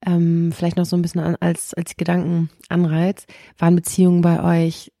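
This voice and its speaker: Eine Frau als Podcast-Gastgeberin, die ruhig offene Fragen stellt und nachhakt. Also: female voice, lowish voice, moderate pace, creaky, dry recording, studio quality. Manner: calm, asks open prompting questions. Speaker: podcast host. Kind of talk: interview questions.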